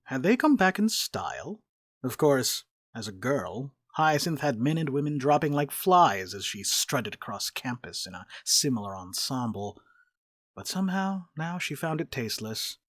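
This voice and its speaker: A man narrates a scripted dramatic reading in a medium-pitched voice. His storytelling is expressive and very animated in pitch, recorded dry with faint music behind. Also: moderate pace, no echo.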